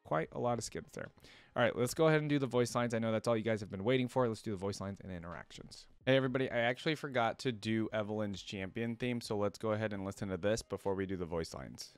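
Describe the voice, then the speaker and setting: A man's voice: lowish voice, fast talker, clear, resonant tone, dry recording. A young adult man giving casual, off-the-cuff reaction commentary as a video host, thinking aloud in slangy speech.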